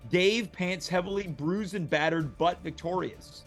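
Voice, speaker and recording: A man's voice: sits high, unhurried, soft background music. A man narrating stage directions and action from a movie script at a comedic table read.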